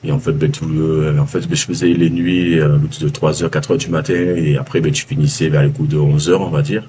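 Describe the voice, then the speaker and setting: A man with a Southern French accent, a former baker giving a casual testimonial, halting with many fillers and restarts. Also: rumbling low, level tone, warm tone, a little hiss or hum. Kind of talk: casual video-call testimonial. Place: calling from abroad, early morning.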